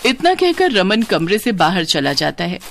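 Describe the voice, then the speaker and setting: This is a woman telling a scripted moral cartoon story. Her mid-range voice moves up and down in a very animated, dramatic storytelling style. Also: mid-range voice, normal speed, gravelly.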